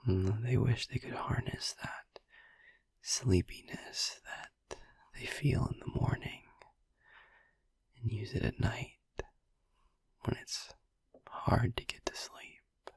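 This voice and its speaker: Soothing vampire boyfriend, played by a man for sleep-aid audio: very slow, gently musing whisper, close and dry. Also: lowish voice, no echo, exaggerated voice. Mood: hushed, soothing. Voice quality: breathy whisper with gravelly creak. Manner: slow, hushed, gently musing with long pauses. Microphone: close microphone, dry.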